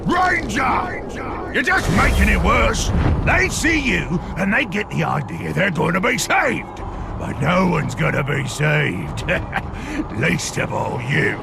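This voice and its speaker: An actor voicing a manic, sneering orc-like creature in a video game, taunting with cruel glee. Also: male voice, high voice, big pitch swings, takes it slowly, gravelly, full character voice. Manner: taunting, cackling, shouting. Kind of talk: dramatic video game cutscene acting. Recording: loud music under the voice. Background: loud cinematic game music.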